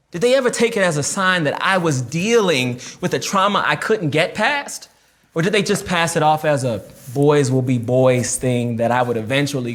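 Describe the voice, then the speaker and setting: An actor playing a wounded, reflective man recounting a painful childhood memory in a theatrical memoir monologue, with deliberate pauses. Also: a little high, a bit bright. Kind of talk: filmed dramatic monologue reading, first-person memoir.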